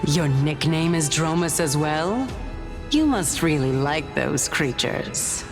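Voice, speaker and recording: A woman voicing an ancient, serene Titan of reason in a video game, measured, lofty and knowing, with an ethereal reverb. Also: low voice, animated, full character voice. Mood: curious, aloof. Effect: long ethereal reverb. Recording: long reverb, loud music behind.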